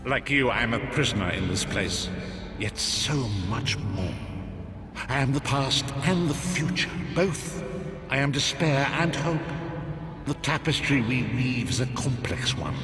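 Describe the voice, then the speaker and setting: A man playing a mysterious prophet in a fantasy game, measured and portentous. His voice is pitched at an ordinary middle level. Loud orchestral music plays under the game audio. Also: animated, close to an everyday voice. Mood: solemn. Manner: slow, grave, portentous delivery. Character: mysterious male prophet, calm and solemn. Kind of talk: video game dialogue.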